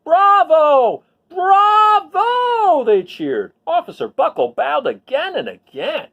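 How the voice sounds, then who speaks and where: A man's voice: very high voice, wildly swooping pitch, measured pace, gravelly, husky, highs cut off. A man reading a picture book aloud expressively, emphasizing key words and adding chatty asides to his listeners.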